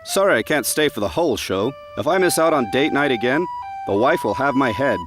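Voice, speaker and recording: A man's voice: mid-range voice, expressive, a little fast, close to an everyday voice. A man with an American accent acting a folksy, cordial college radio announcer in a period drama. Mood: genial.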